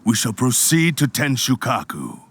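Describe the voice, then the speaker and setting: Gravelly cursed sword spirit, slow, grave, haughty, sweeping pitch, game voice acting. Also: male voice, wildly swooping pitch, fairly bright tone, exaggerated voice. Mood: grim. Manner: haughty, defiant, commanding. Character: proud stubborn cursed sword spirit, male. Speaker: antagonist.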